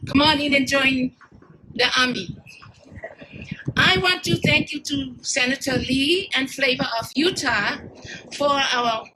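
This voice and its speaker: A woman's voice: high voice, heavy background noise. Samoan-born grandmother giving a speech. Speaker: community volunteer. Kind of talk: prepared speech at an outdoor event.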